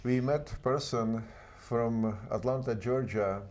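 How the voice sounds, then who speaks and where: A man from Czechoslovakia with a Czech accent, a guest telling his story in halting, earnest second-language English. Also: medium pitch, darkish tone. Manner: earnest, halting non-native phrasing. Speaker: guest author. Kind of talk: interview storytelling.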